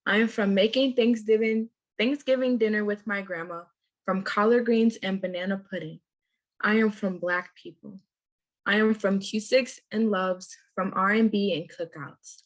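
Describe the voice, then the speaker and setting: Teen girl from minnesota, mid pitch, easygoing, trips a bit off script. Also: steady tone, moderate pace, rough recording. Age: teens. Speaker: youth leader presenter. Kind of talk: scripted youth talk.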